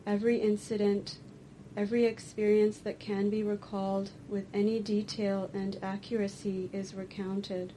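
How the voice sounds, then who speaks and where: A woman guides a meditation in a mellow, medium-pitched voice. Her delivery is very flat and deliberate, broken into short phrases with pauses. Faint noise sits behind her. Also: low-quality recording. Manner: slow, deliberate, pausing between short phrases. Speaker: meditation teacher. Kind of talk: guided meditation and dharma talk.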